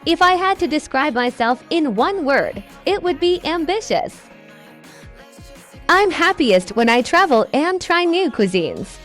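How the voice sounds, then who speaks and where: A woman's voice: high voice, big pitch swings, measured pace, fairly bright tone, faint music behind. A woman answering pageant questions in a scripted, upbeat way. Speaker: pageant contestant.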